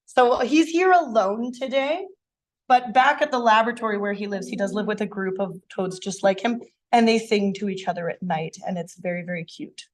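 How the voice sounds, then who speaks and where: A woman in her thirties with a slightly hoarse voice, showing live animals to young children in a classroom. She explains in an animated, friendly teaching way, asking the kids questions as she goes. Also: on the high side, poor audio. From Canada. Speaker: science educator. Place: elementary school classroom, indoors.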